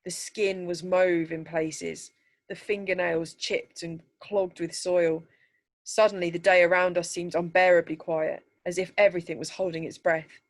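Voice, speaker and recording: A woman narrating a scripted short story aloud in a measured, deliberate way, like a teacher reading to pupils. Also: on the low side, level tone.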